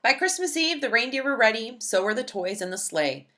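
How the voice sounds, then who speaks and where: Female storyteller for kids' picture books: lively, swooping delivery, bright forward tone, emphatic phrasing. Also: fairly high pitch, a little fast. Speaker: read-aloud storyteller. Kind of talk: expressive children's picture book read-aloud.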